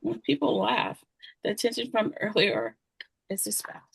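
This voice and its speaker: A woman's voice: mid-range voice, sing-song, normal speed, creaky, husky, no room sound. A woman reading stage directions as scripted narration at a screenplay table read.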